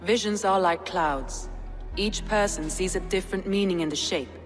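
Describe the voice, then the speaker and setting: An actor playing a calm Viking clan leader who speaks in a measured, thoughtful way, in game audio. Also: male voice, sits high, big-room sound, faint music behind. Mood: composed.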